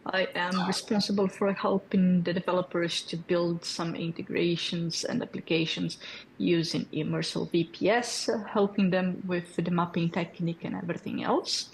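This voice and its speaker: A woman's voice: on the low side, level tone, moderate pace, creaky, slight background noise, rough recording. A woman in her thirties from Brazil, a native Portuguese speaker with a Brazilian accent, presenting a developer tool in a friendly, explanatory way with fillers and check-in questions. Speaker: developer community lead presenting at a hackathon. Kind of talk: technical presentation.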